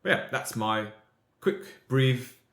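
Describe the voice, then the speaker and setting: A man's voice: medium pitch, lively intonation, takes it slowly, fairly bright tone, echoey room. A man hosting a podcast in casual, conversational talk. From Europe. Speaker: podcast host.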